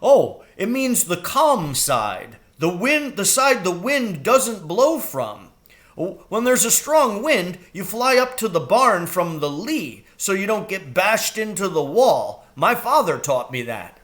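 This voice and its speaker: A man puts on a young storybook crow, eager and chatty. The voice is pitched high and swoops upward, stumbling over its words in naive excitement. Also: takes it slowly, exaggerated voice. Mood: eager, helpful. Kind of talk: children's storybook read aloud.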